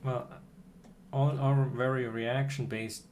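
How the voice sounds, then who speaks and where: A man livestreaming a game, chatting casually about strategy in a hesitant, halting way as he thinks aloud. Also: mid-range voice, little pitch movement, darkish tone, slight background noise.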